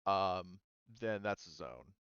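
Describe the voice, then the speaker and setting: A man running a tabletop roleplaying game as game master, explaining rules and thinking aloud in a halting way. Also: low-pitched, animated, a bit bright, clean tone, dry recording, lo-fi sound.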